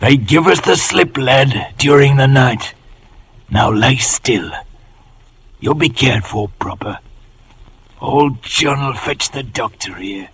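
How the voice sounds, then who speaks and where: An actor playing a wily leader in an old radio drama, gravelly, wheedling and commanding by turns. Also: male voice, mid-range voice, lively intonation, slow pace, pauses often, fairly bright tone. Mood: coaxing, hushed. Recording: noisy vintage radio broadcast.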